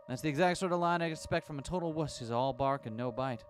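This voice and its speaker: A man's voice: on the high side, fast talker, slight background noise, pitched above the performer's own voice, lightly acted. Man playing a mouthy grown male reporter, sarcastic, goading. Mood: taunting. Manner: taunting, sarcastic. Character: cocky, mocking adult male reporter.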